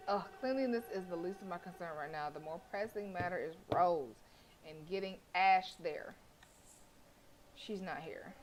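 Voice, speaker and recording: A woman's voice: fairly low pitch, slight background noise. A woman streamer reading a horror visual novel aloud, breaking off into joking, swearing asides. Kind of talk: reading game text aloud with asides to chat.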